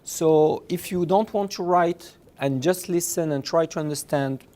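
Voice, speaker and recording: A man with a French accent giving an informal university lecture, halting and repeating words as he goes, in an approachable way. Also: a little high, dark tone. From France, originally from Morocco. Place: lecture room with blackboard.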